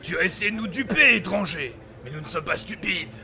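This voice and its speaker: A hostile clan villager in an audio drama, suspicious and menacing. His high voice has a gravelly creak as he declaims accusingly over music. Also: animated, a little fast. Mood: furious, accusing. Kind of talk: French audio drama acting. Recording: music under the voice.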